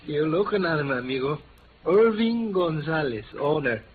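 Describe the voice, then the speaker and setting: Actor as high-voiced, jovial Mexican taco-stand owner. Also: male voice, animated, moderate pace, close to an everyday voice. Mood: jovial, sly. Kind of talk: 1950s radio character acting. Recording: noisy, dull old radio recording.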